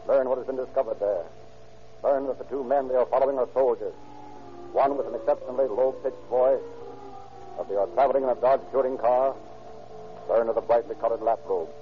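Guy doing old radio crime show lawman voice, probing, quick, thin scratchy sound. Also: mid-range voice, phone-quality sound, natural voice. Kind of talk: dramatic old-time radio acting and narration.

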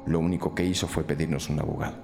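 An actor playing a sober, methodical police detective who reports and reflects in a scripted crime podcast drama. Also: male voice, very deep voice, fairly even intonation, rapid-fire, vocal fry, a little mellow, faint music behind. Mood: matter-of-fact. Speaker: detective reporting case facts.

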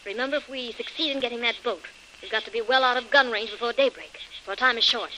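A plucky heroine from a vintage radio adventure. She speaks quickly and earnestly in a bright, resolute voice, with slight background noise on the old recording. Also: fairly high pitch, low-quality recording. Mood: urgent, decisive. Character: plucky, determined woman fleeing danger. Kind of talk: old-time radio adventure serial acting.

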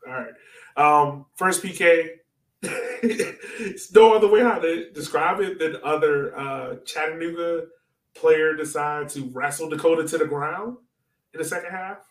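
Echoey, higher-pitched man chatting sports. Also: a little slow. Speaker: co-host of a soccer fan podcast. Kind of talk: casual sports chat, match breakdown. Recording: echoey room, far from mic.

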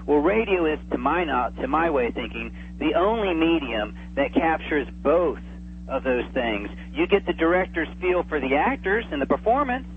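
A man's voice: on the high side, lively intonation, normal speed, slight background noise. Man on a tinny phone line. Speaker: interview guest, old-time radio enthusiast. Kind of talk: casual interview chat. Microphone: telephone.

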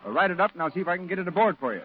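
An actor playing a brisk, matter-of-fact Marine officer in command in a 1940s radio drama. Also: male voice, sits high, expressive, soft background music. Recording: thin phone-like old 1940s radio recording.

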